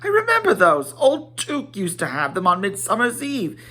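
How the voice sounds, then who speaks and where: A man performs an excitable little hobbit gentleman from a storybook. His voice is pushed up high and leaps about. He is fussy and flustered, rambling on with polite exclamations. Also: normal speed, full character voice. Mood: astonished, delighted. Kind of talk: dramatic storybook reading.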